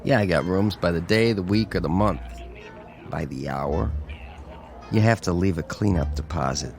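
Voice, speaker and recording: A laid back motel clerk for a radio play, croaky lowish male voice, nonchalant, music behind. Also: normal speed. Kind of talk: radio drama acting.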